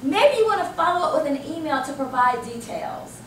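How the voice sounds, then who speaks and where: An American woman leading an interactive workshop as a corporate trainer, animated and emphatic, often posing questions and answering them herself. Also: sits high, moderate pace. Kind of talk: interactive workshop presentation to a group. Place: indoor training room. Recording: roomy, distant microphone with background noise.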